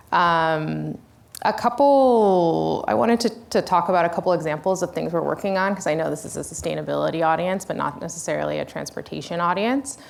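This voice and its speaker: Woman panelist, deep and creaky, hesitant delivery. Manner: hesitant, with many fillers. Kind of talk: panel presentation.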